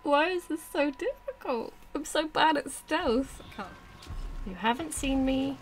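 Excitable woman gamer, lively high-ish voice. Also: unhurried, a little hiss or hum. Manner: self-deprecating, excitable, talking to herself. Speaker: gaming YouTuber. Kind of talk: casual let's play commentary. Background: loud game music and combat sound.

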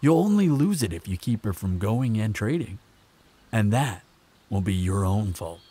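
A man playing a proud, protective vampire in a fantasy roleplay audio drama, speaking in measured, sardonic threats. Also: very animated, mellow. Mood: firm. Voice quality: low, gravelly, husky. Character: proud protective male vampire, menacing, sardonic, fond of lover.

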